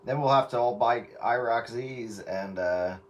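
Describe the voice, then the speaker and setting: A man's voice: mid-range voice, measured pace. Distant American man on a noisy radio. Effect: radio transmission. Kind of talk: casual CB radio chat.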